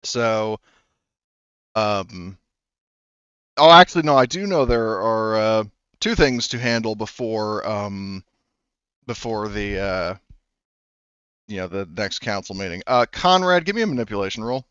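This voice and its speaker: A man's voice: on the low side, lively intonation, a little slow, long pauses, a bit bright, clear, resonant tone, dry recording, highs cut off. A man running a tabletop roleplaying game as game master, talking loosely with many fillers.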